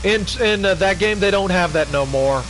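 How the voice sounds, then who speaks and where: A man's voice: sits high, normal speed, a bit bright, slight background noise. A man chatting casually on a game livestream, eagerly telling stories and teasing them with questions. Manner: eager storyteller, keeps asking "you wanna know". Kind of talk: casual chat.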